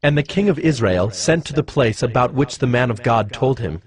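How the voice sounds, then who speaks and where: A man narrating scripture as a scripted story in a solemn, measured manner. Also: medium pitch, lively intonation. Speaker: Bible narrator.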